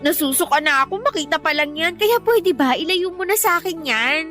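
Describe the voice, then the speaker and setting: A petulant young wife in a radio love drama, with a high, bright, piercing voice. She is moody and bossy, whining and insisting on getting her way. Soft music runs behind her. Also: lively intonation, fairly quick. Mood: fussy. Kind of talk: Tagalog radio drama acting.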